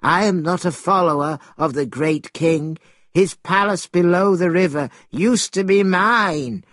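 A man's voice: high voice, unhurried, fairly bright tone, dry recording, clean studio sound, pitched above the performer's own voice. A man voicing an enormous, solemn and grateful turtle in a storybook, with an earnest, pleading manner.

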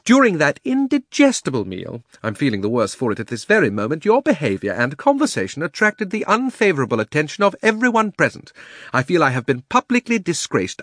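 A man voicing a pompous, aggrieved older gentleman in an audiobook, speaking formally with wounded dignity. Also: a little high, no echo, studio quality, voice pushed up.

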